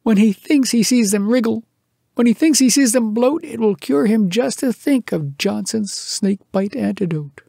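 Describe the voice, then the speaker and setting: Excitable high-pitched boastful settler played by a man. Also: normal speed, gravelly, dry recording, studio quality, heavily acted. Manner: exultant, grandiose declaiming. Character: excitable, boastful bush settler with grand schemes.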